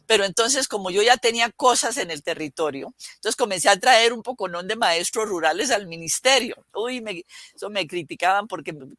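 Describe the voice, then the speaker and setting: A woman's voice: on the low side, a bit bright, no echo. Older Colombian woman telling stories. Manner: rambling storyteller with frequent restarts and fillers. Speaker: guest educator. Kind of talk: reminiscing in a video interview.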